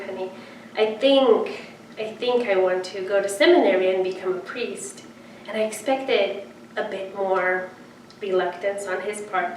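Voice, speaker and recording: A woman in her thirties from Romania, introducing herself as a seminarian in an earnest, reflective way with frequent pauses. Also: medium pitch, recorded from a distance, a little hiss or hum. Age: 30s. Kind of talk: personal introduction. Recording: echoey room, voice from off-mic.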